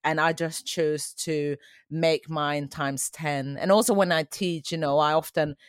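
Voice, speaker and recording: A woman's voice: deep voice, fairly even intonation, a little slow, clean tone, dry recording, clean studio sound. A woman in her forties who grew up in Sweden, a dance teacher answering interview questions in an earnest, reflective way.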